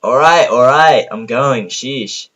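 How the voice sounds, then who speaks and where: A man's voice: mid-range voice, slow pace, a bit bright, muffled recording. A man voicing a brash, playful female pegasus pony in an audio story, teasing and smug. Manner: teasing, exuberant.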